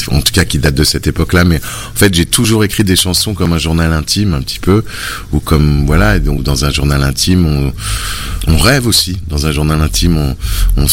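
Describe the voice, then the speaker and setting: Man, extremely low voice, musician guest, hesitant, lots of pauses and restarts. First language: French. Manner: hesitant, many fillers and restarts. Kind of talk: relaxed conversational interview.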